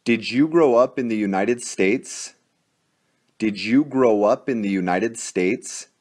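A man teaching English, reading each drill sentence twice in a deliberate way. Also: lowish voice, darkish tone, soft background music. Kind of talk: slow, repeated drill sentences for learners.

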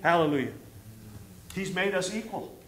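A middle-aged man from the preaching at a church pulpit with a fairly high voice. He reads scripture and presses his points with rising fervor. The pulpit mic picks him up in a roomy hall with a ringing echo. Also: lively intonation, moderate pace. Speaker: pastor. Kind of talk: sermon. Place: church stage at a wooden pulpit. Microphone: pulpit microphone.

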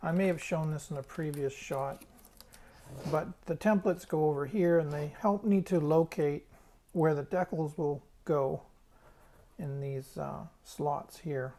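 A man's voice: on the high side, unhurried, mellow, a little hiss or hum. A man giving a casual, hands-on demonstration as a hobbyist, thinking aloud a little hesitantly. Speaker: hobbyist restorer presenting a tutorial.

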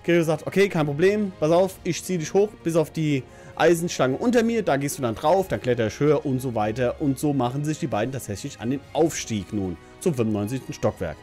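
A man's voice: on the high side, animated, fairly quick, warm tone, faint music behind. A middle-aged man giving a casual, colloquial episode recap in a chatty, hesitant way, recorded at a desk microphone in a small home office. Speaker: host of an anime review channel. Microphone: desk condenser microphone on a stand.

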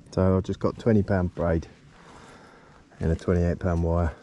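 A man speaks in a low, mellow voice, slightly affected by a cold. He chats in a relaxed, half-to-himself way as an angler filming his outing, with faint outdoor ambience behind him. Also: a little slow, a little hiss or hum. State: has a bit of a cold. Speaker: angler filming a fishing vlog. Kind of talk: casual chat while fishing. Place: outdoors on a riverbank. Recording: outdoor action camera with background ambience.

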